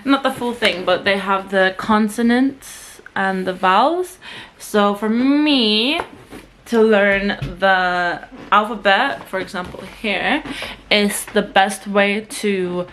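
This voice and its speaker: A young woman from Sweden talks casually straight to her camera, chatty and rambling, often stopping to restart. Her pitch sits at a middling level. A faint hiss of noise runs underneath her voice. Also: slow pace, a bit bright. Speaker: vlogger.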